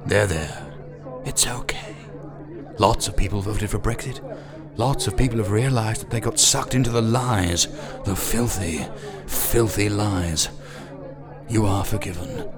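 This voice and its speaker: A man's voice: lowish voice, sing-song, unhurried, crowd noise. Man playing a pompous actor. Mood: soothing. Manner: pompous, haughty. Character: pompous posh male actor, lecturing.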